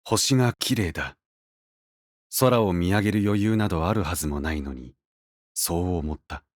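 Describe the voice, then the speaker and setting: A man's voice: low-pitched, normal speed, no echo, lightly acted. A man playing a brooding, serious character who narrates his inner monologue in a fantasy audio drama with restraint. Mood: somber. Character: grave, brooding male narrator in a fantasy tale. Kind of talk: scripted first-person narration.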